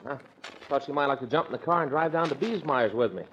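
An actor playing a polite, easygoing young suitor, mild and hesitant, in a 1940s radio comedy. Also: male voice, medium pitch, quick pace, fairly bright tone, lightly acted. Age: young adult. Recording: muffled, noisy 1940s radio recording with clicks.

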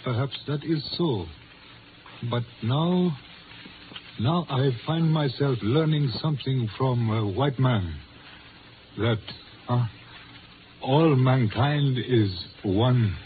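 An actor in a 1950s radio drama playing a proud, solemn older Chinese father who leads his community, speaking in formal, measured phrases. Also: mid-range voice, lightly acted. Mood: solemn, reflective. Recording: muffled, noisy 1950s radio recording.

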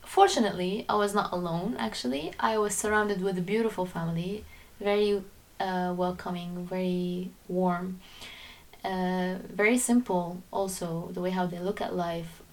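A woman's voice: medium pitch, a little mellow, distant mic. A young woman from Syria, candidly and reflectively telling her life story as a podcast guest, sometimes restarting her sentences. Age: young adult. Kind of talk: personal storytelling interview.

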